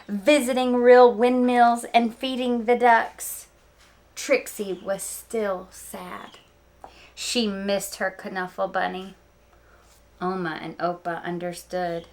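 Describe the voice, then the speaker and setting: A woman reading a picture book aloud to young listeners, expressive and speaking to them directly with small asides. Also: mid-range voice. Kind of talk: children's read-aloud storytelling with asides.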